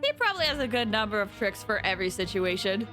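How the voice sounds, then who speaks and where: A woman's voice: medium pitch, very animated, fast, sharp tone. A woman livestreaming a game, chatting casually and commenting as she plays. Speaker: game streamer. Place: indoors, livestream set-up. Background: game music.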